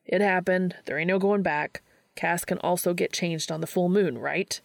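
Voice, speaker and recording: A woman's voice: on the low side, normal speed, vocal fry, no room sound, studio quality. A woman voicing a brash, impatient man with a blunt, slangy manner in a story reading. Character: brash, crude, impatient adult man turned sea creature.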